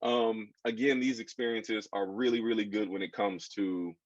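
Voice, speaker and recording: An American man with a fairly low voice speaks in a roomy, slightly dull recording. He is presenting as a children's book author, informally and conversationally. He addresses his listeners directly and puts questions to them. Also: fairly even intonation, moderate pace. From United States. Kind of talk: informal audience presentation with slides. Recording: dull, echoey room sound.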